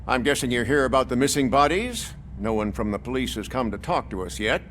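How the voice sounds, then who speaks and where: An actor playing a wry, talkative hospital morgue worker in a video game, with a droll, chatty delivery. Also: male voice, mid-range voice, a little fast, fairly bright tone, a little hiss or hum, close to an everyday voice. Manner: droll, dryly morbid chattiness.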